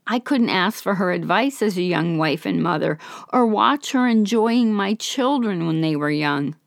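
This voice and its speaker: A woman voicing the quoted words of an elderly, guilt-ridden, devout woman, in a soft, sorrowful, confiding way. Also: mid-range voice, expressive, unhurried, gravelly, no echo, studio quality.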